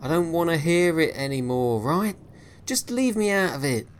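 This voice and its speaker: A man's voice: medium pitch, moderate pace, a little hiss or hum, pitched above the performer's own voice, lightly acted. A man voicing a surly male sailor who barks dismissively. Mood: irritable. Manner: barking, dismissive.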